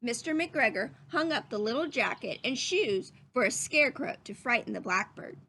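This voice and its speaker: A woman's voice: a little high, animated, normal speed, pushed and bright, slight background noise. A woman reading a children's story aloud in a teacherly, explanatory way, as if speaking to young listeners.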